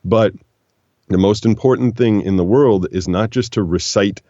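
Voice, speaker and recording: A man in his forties, a voiceover actor being interviewed, telling stories in an animated way and switching voices when he quotes people. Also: lowish voice, moderate pace, no echo, lo-fi sound. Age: 40s. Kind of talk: relaxed storytelling conversation.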